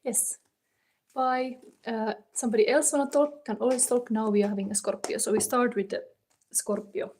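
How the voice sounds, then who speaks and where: Mellow Finnish woman reading tarot live. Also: on the high side, steady tone, husky. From Finland. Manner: halting, unscripted, thinking aloud in non-native English. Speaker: tarot reader hosting a live stream. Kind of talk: casual live tarot reading, chatting with viewers. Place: warm indoor room. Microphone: phone.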